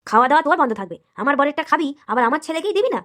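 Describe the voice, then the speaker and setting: A woman's voice: high-pitched, big pitch swings, very fast, fairly bright tone. Swooping, quarrelsome cartoon mother-in-law acted by a woman. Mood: taunting, quarrelsome. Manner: bickering, taunting, indignant. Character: quarrelsome, indignant mother-in-law defending her husband and son. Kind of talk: amateur comedy cartoon acting.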